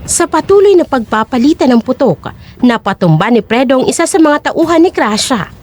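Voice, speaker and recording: High-pitched, animated woman storyteller. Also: fairly quick, faint music behind. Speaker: narrator. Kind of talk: dramatic scripted storytelling narration.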